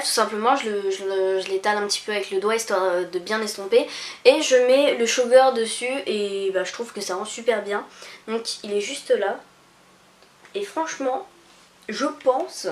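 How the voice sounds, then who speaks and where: A young woman, chatting casually through a beauty haul, full of pauses and restarts. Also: fairly high pitch. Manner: hesitant, many fillers and restarts. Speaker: beauty vlogger. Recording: echoey room.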